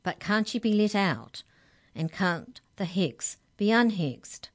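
Earnest young lad, played by a female narrator, raised pitch, urgent, pleading, storybook. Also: animated, slow pace.

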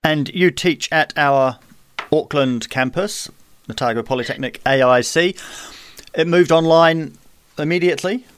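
A man's voice: a little high, a little slow, a bit bright, clear, resonant tone, no echo. Kiwi guy hosting a chat podcast from home, dry close sound, easygoing. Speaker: podcast host. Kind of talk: casual interview chat.

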